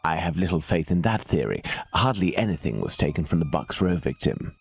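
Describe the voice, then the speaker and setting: Deep british gentleman detective, calm and exact, lecturing formally, video game cutscene, faint music. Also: gravelly, husky, full character voice. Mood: analytical, composed. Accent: British English. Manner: measured, formal, precise, didactic. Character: calm, analytical middle-aged male Victorian English detective. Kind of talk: dramatic video game dialogue.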